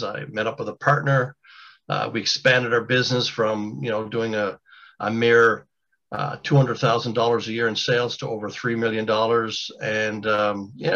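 A man in his fifties tells his business story in a loose, rambling way, full of fillers and restarts. His voice is bright and forceful. The sound is muffled and lo-fi. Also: medium pitch. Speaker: business owner guest. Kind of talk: casual interview storytelling.

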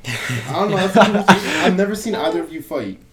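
A man's voice: sits high, very animated, moderate pace, a crowd in the background. A young man in casual podcast banter, teasing and slangy with plenty of swearing. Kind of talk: casual chat.

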